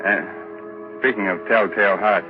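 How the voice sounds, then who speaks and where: A man's voice: on the low side, steady tone, moderate pace, over music. A man hosting a scripted 1940s radio show in a wry, theatrical manner. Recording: muffled 1940s radio recording.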